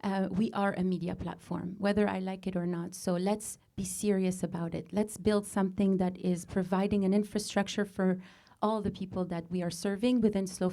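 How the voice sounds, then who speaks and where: A woman in her forties, born in Lebanon and raised speaking Arabic, introduces her show to a live audience. Her voice is mellow and relaxed, at a middle pitch. She speaks earnestly and deliberately, pausing and restarting as she goes. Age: 40s. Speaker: host. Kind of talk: opening monologue to a live audience.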